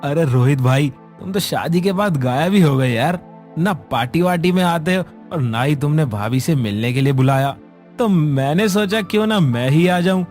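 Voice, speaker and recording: A man's voice: fairly high pitch, lively intonation, moderate pace, a little mellow, faint music behind, natural voice. A man playing a chummy young friend of the husband in an audio storybook.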